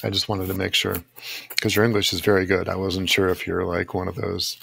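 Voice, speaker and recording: Guy hosting an interview, really creaky raspy voice, polite questions, dry close sound. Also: low-pitched, monotone, a little fast, a bit bright, no room sound. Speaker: podcast host, interviewer.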